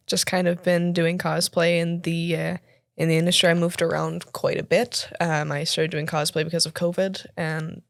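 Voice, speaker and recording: Woman in her twenties, low dry voice. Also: vocal fry, dry recording. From Canada. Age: 20s. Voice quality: deeper voice than expected. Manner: chatty, laughs often, many fillers and restarts. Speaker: guest, actor and cosplayer. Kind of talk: casual interview chat.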